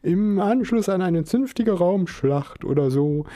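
A man voicing a greasy, jumpy young man who talks in an excitable, stammering way. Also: sits high, unhurried, voice pushed up.

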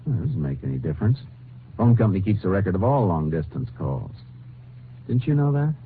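Texas Ranger, very low voice, clipped, authoritative, methodical, vintage radio crime drama, thin noisy recording. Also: male voice, big pitch swings, brisk. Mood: probing, firm. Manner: clipped, matter-of-fact, authoritative. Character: steady, methodical male Texas Ranger investigator and narrator. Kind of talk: 1950s radio crime drama acting and narration. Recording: muffled, noisy old radio broadcast recording.

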